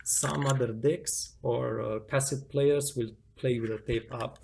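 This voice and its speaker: Gravelly man explaining casually, noisy recording. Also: medium pitch, steady tone, unhurried, darkish tone. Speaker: tutorial presenter. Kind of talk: casual hands-on demonstration, unscripted. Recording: clearly noisy home recording with clicks.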